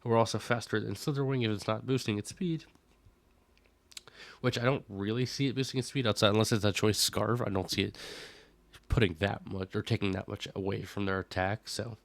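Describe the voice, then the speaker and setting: A man's voice: lowish voice, steady tone, fairly quick, creaky, no room sound. A young man who is a little sick, casually walking through his team for a competitive league match, thinking aloud with fillers and self-corrections. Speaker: Pokémon league player and video host. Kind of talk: casual team breakdown commentary.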